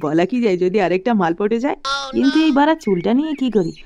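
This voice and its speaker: Woman as fast-talking grumpy cartoon grandpa. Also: medium pitch, big pitch swings, muffled recording, noisy background. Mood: musing, vain. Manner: blustering, colloquial, coarse. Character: doting but foul-mouthed, grumpy old grandfather. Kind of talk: Bengali comedy cartoon dialogue.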